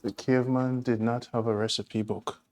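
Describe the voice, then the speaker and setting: A man's voice: mid-range voice, flat delivery, normal speed, a little mellow. A man with a Jamaican accent, from Jamaica, hosting a casual cooking demonstration in his home kitchen, chatting and rambling with homely asides as he works. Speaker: cooking show host.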